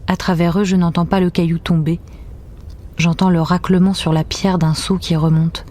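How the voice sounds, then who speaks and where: An uneasy mother, acted by a woman in a naturalistic radio drama. Her voice is fairly low, with a subdued, guarded and slightly hesitant delivery. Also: little pitch movement, moderate pace, warm tone, close to an everyday voice. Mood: introspective. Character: uneasy, guarded young mother leaving home with her child.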